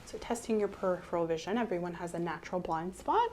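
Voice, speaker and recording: A woman speaking softly as the examiner in an ASMR medical role-play, gently explaining each step in a reassuring way. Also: lowish voice, quick pace, slight background noise. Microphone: clip-on mic.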